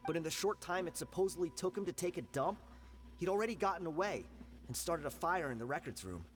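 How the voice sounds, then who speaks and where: A man's voice: a little high, lively intonation, fast, natural voice. A man doing a sneering, jumpy mercenary thug. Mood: bitter. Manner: sneering, then stammering and nervous. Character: cowardly boastful mercenary thug, adult man. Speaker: mercenary grunt. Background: game music.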